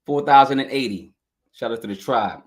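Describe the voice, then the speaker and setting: A man's voice: mid-range voice, normal speed. Guy hosting a podcast, hyped and slangy, voice kinda scratchy today, talks right to the viewers. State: scratchy voice this morning. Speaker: podcast host reviewing an album. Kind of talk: casual track-by-track review. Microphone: live stream podcast mic.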